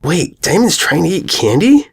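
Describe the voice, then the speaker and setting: A sick young filly from a pony storybook, acted by a male narrator. The voice is weak and scratchy, lifted in pitch, with an anxious, hungry edge. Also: sing-song, moderate pace, gravelly, husky, full character voice. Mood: alarmed, urgent.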